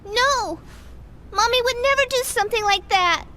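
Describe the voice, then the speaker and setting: A woman's voice: extremely high pitch, moderate pace, sharp tone. An actress playing an earnest, innocent little girl in a video game. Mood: earnest, upset.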